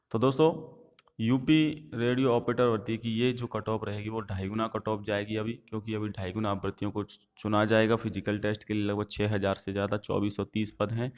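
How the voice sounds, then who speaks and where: A man giving viewers an informational update as the host of an online video channel. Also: fairly low pitch. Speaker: YouTube host giving exam news updates.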